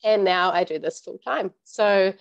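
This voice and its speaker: A woman in her thirties with a croaky voice from recent illness, chatting casually about her home business. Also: mid-range voice, unhurried, no room sound, highs cut off. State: croaky voice, getting over illness. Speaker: business mentor host.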